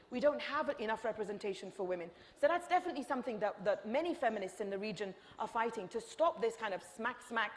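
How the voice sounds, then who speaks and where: Impassioned woman in a reverberant hall. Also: sits high. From Egypt. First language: Arabic. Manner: impassioned, rhetorical repetition. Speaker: author and panel speaker. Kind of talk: lecture Q&A answer.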